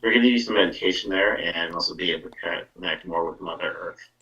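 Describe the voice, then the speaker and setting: A man's voice: low voice, fast talker, sharp tone. A man with a Canadian accent hosting a casual live podcast as a psychic medium, chatting and making announcements. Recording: muffled, distant microphone.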